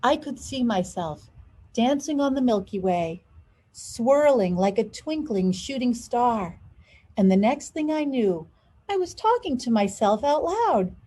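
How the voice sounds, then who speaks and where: A woman reading a children's storybook aloud in an expressive, dramatized storytelling manner. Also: on the high side, unhurried, breathy edge. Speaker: school leader reading aloud.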